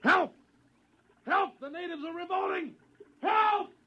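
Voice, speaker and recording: A man's voice: extremely high pitch, slow. An actor playing a bullying, bigoted male colonist in a menacing, sneering 1950s radio drama style. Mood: panicked. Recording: dull lo-fi 1950s radio recording.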